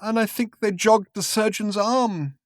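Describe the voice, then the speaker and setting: A man with an English accent voicing a drugged, distraught, half-mad journalist in a strained, hoarse, whimpering voice with melodramatic delivery for an audiobook. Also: high-pitched, measured pace, no echo, clean studio sound, pitched above the performer's own voice. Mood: tearful agitation. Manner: stammering, melodramatic outbursts.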